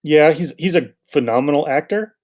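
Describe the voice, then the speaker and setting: A man's voice: fairly high pitch, moderate pace, darkish tone. A man hosting a podcast in casual chat, rambling a little as he thinks aloud. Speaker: podcast host.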